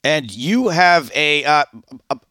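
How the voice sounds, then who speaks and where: Host: man, bright forward tone, easygoing stumbling questions, dry close mic. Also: on the high side, lively intonation, takes it slowly, dry recording. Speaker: podcast host. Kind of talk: casual interview.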